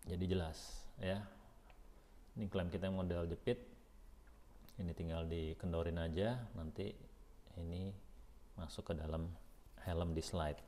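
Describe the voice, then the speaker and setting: A man's voice: low-pitched, fairly even intonation, unhurried, long pauses, dark tone, a little hiss or hum. A man reviews a product in a casual, unscripted vlog style, thinking aloud informally and hesitantly as he goes.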